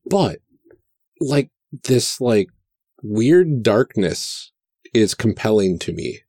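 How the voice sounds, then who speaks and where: A man's voice: on the low side, very animated, slow pace, warm tone, no room sound, studio quality. A man chatting casually as a podcast host and player. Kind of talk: casual chat.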